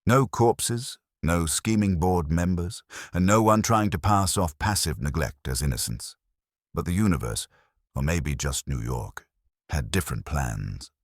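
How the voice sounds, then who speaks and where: A male narrator with an extremely low voice reads a scripted detective story. His delivery is lively in pitch, and the sound is dry with no room on it. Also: unhurried, dry recording. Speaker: audiobook narrator.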